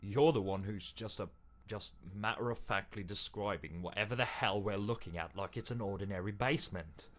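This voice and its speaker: A man's voice: on the low side, normal speed, a bit bright, lightly acted. A man voicing the anxious, frightened inner voice of a doomed adventurer, fretful and pleading. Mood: indignant, panicked. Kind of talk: dramatic game dialogue.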